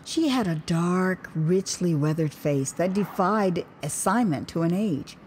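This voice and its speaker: An American woman narrating her own memoir as an audio drama, expressive and wry, with conversational asides. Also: fairly low pitch, a little slow, a little hiss or hum. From United States. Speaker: author and storyteller.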